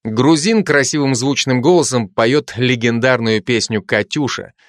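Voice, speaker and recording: A man reading the stage directions of a screenplay aloud in a plain, even manner. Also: medium pitch, normal speed, dry recording, clean studio sound. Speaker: narrator.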